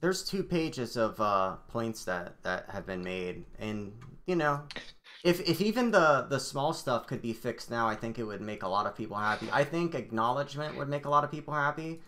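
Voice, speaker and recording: A man's voice: mid-range voice, animated, normal speed, a bit bright. A man hosting a live game stream, talking loosely with lots of fillers. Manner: loose, laid-back, full of fillers like "like" and "you know". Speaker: stream host. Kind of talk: casual live stream chat.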